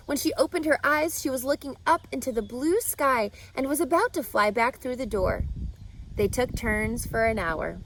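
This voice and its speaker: A woman reading a children's book aloud with expressive, animated storytelling. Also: high voice, rough recording. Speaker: reader.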